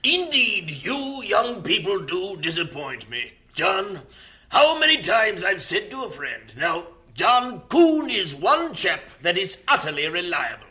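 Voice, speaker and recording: A man's voice: high-pitched, big pitch swings, measured pace, sharp tone, exaggerated voice. An actor playing a stern, formal older male mission superintendent in a vintage radio drama. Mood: reproving. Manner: reproving, formal.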